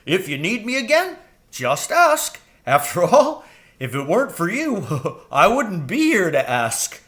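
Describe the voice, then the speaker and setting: A man voicing a young male crow in a children's storybook, chatty, naive and impatient, with an excitable, stammering delivery. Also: sits high, a little slow, voice pushed up, full character voice. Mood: cheerful. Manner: excitable, stammering, swooping upward. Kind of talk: children's storybook read aloud.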